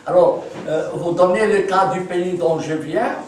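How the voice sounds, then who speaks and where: A man's voice: high-pitched, a little slow, a little hiss or hum. A man in his sixties from Palestine giving a public talk, explaining points with simple examples and asking his listeners whether they agree. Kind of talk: lecture with audience questions. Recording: echoey room, speaker far from the mic.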